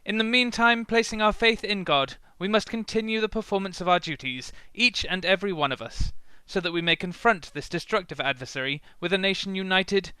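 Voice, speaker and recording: An actor playing a stately government defence minister making a solemn, formal address in a radio play. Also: male voice, high-pitched, bright, forceful tone, clean tone, no echo. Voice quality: measured, solemn oratory.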